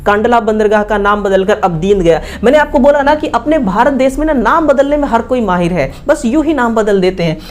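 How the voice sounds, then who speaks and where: A woman with an Indian accent teaching exam questions, repeating key facts as quick rhetorical questions with frequent checking tags. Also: on the high side, darkish tone, a little hiss or hum. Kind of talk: exam question analysis lesson.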